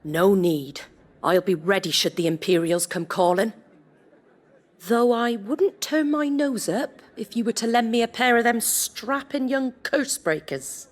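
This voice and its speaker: A woman's voice: a little high, unhurried. An actress playing a tough-minded, guilt-ridden woman leader in a fantasy game, with a plain-spoken, folksy, wry way of talking. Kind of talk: dramatic fantasy game dialogue.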